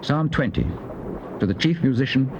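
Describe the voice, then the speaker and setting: A man's voice: mid-range voice, lively intonation, normal speed, muffled recording. Formal male announcer, very varied intonation, noisy tape. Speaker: announcer of psalm titles. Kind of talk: scripted scripture title announcement. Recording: noisy old cassette tape.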